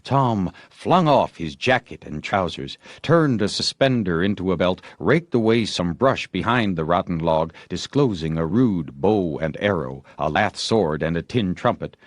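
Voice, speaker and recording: Guy reading a story audiobook, expressive, pitch moves around a lot, really dry recording. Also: dry recording. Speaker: audiobook narrator. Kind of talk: expressive scripted story narration.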